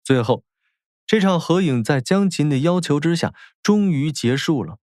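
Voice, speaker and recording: A man's voice: a little high, lively intonation, normal speed, a little mellow, no room sound, studio quality. A man narrating a novel as a scripted, dramatic audiobook. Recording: faint music behind.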